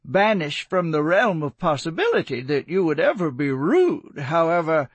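A man doing a courtly, teasing old gentleman. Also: on the high side, sing-song, moderate pace, husky, dry recording. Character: older gentleman, courtly, teasing, good-humored. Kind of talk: audiobook character voice.

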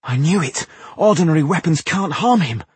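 A man voicing an earnest dwarf scholar hero in a storybook, speaking urgently in a husky voice. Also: on the high side, lively intonation, moderate pace, no echo, pitched above the performer's own voice. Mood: urgent, breathless.

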